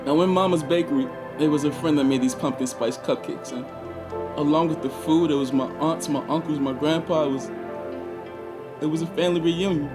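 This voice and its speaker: An actor playing an anguished, regretful 21-year-old prisoner in a confessional stage monologue with dramatic pauses. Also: male voice, on the high side, music in the background, natural voice. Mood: wistful. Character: anguished 21-year-old male prisoner, former track star, bitter and regretful.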